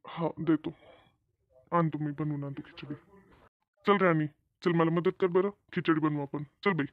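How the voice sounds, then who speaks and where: High-pitched, chiding village husband acted by a man. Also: steady tone, moderate pace, dry recording, lo-fi sound, close to an everyday voice. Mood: helpful. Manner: chiding, questioning, homely. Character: exasperated but helpful village husband and father. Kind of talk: amateur cartoon comedy dub.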